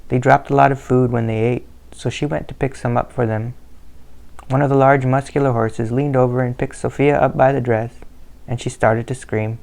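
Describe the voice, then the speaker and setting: A man narrating a family history in a steady, measured storytelling manner. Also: medium pitch, gravelly.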